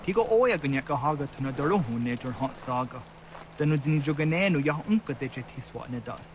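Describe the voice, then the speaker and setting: An actor playing a solemn, earnest villager in a historical video game, speaking in measured dramatic dialogue. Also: male voice, mid-range voice, lively intonation, a little hiss or hum, lightly acted. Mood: earnest, insistent.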